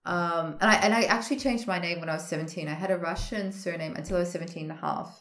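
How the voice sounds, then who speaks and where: A woman's voice: lowish voice, steady tone, racing, a bit bright, echoey room. A woman who grew up in Australia, answering interview questions in a thoughtful, hesitant way with many fillers and restarts. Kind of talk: casual interview conversation.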